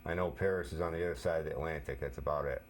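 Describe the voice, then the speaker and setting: A man's voice: extremely low pitch, very fast, slight background noise. A man giving casual play-by-play commentary on a wrestling match, with dry joking asides.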